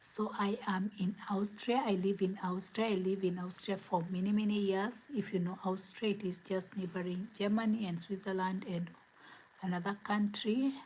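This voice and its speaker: A woman from Kenya hosting a spiritual livestream from home, speaking in gentle, repetitive affirmations. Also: mid-range voice, fairly even intonation, moderate pace, a little hiss or hum, rough recording. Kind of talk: inspirational livestream talk. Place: home, where it is late at night.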